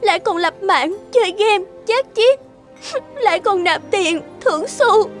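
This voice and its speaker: A woman voicing an emotional, stubborn young woman in a cartoon, expressive and emphatic. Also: very high voice, a little slow, soft background music. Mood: upset, disbelieving. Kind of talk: animated cartoon dialogue.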